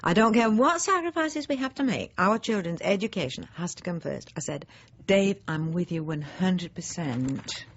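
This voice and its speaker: A slightly muffled radio drama recording of a snobbish, middle-aged housewife. Her voice is low and husky, and it rises and falls with animated, pointed emphasis. She confides in the listener, smug and resentful, always justifying herself. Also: moderate pace. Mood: indignant. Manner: chatty, self-justifying, genteel, digressive with pointed emphasis.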